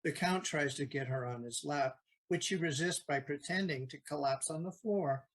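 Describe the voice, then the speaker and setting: A man narrates an audio play in a slightly high voice. He reads stage directions as scripted narration, setting out the action for the listener. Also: normal speed, a bit bright.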